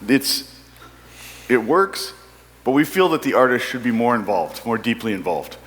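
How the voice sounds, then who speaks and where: A man's voice: medium pitch, very animated, normal speed, fairly bright tone. Man presenting in a reverberant hall. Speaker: product manager presenting software. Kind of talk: conference product presentation. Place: large indoor conference hall. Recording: echoey hall with long reverb.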